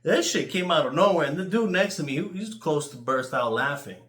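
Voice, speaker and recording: A man with a New York accent telling casual, rambling stories in an animated, crude way, swearing freely. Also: on the high side, a little fast, roomy sound. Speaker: YouTuber.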